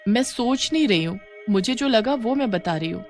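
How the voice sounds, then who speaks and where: A woman's voice: a little high, lively intonation, moderate pace, faint music behind, voice pushed up, lightly acted. A woman voicing a young schoolgirl with an earnest, questioning manner in a horror story. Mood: uneasy. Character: young schoolgirl, puzzled and uneasy.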